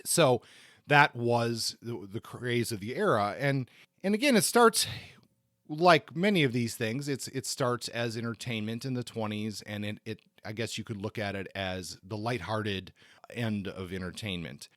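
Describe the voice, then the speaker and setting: Low-voiced man, dry close sound. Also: a bit bright, dry recording. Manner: halting, often repeats words. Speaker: podcast co-host. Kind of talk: conversational book discussion, explaining history.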